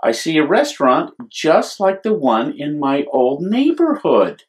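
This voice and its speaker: A man's voice: a little high, sing-song, measured pace. A man with an American accent voicing an earnest, imaginative young boy in a picture book story. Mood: excited wonder. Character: wide-eyed, imaginative young boy moving out west.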